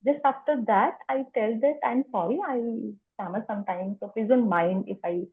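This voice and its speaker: A woman's voice: fairly high pitch, normal speed, breathy edge, phone-quality sound. A woman who stammers, repeating words and sounds as she answers interview questions. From India. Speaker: interview guest. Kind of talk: conversational interview.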